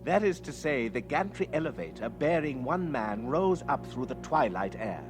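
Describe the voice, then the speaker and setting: A man's voice: mid-range voice, big pitch swings, normal speed, over music, close to an everyday voice. A man acting as an awed spaceship crewman, giving grand, lyrical and solemn narration in a radio drama. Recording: faintly noisy 1960s radio recording.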